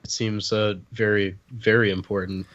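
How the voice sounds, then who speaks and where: A man's voice: on the low side, flat delivery, moderate pace, a bit bright, muffled recording. A man chatting casually on a comedy podcast in a hesitant, mock-earnest way. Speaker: podcast panelist. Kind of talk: casual comic podcast chat.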